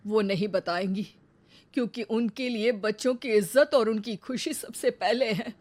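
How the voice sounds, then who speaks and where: A woman's voice: fairly high pitch, normal speed, a little mellow, lightly acted. A woman voicing a dutiful young husband and devoted son in a cartoon story, earnest and coaxing. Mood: caring.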